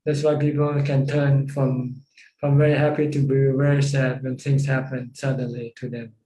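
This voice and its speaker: Mellow Thai-accented monk in a roomy space. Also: male voice, medium pitch, level tone. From Thailand. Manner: repetitive, halting phrasing. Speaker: Buddhist monk and teacher. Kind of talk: informal Dhamma teaching with questions. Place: Zoom call. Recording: roomy, echoing video call.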